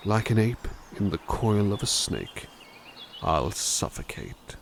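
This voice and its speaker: A man playing a gentle, kindly butler who softly reads poems aloud in an ASMR roleplay and muses thoughtfully on each one. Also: on the low side, very animated, slow, vocal fry, a little hiss or hum, exaggerated voice. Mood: musing. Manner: soft, musing, thoughtful asides between poems. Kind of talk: ASMR roleplay poetry reading.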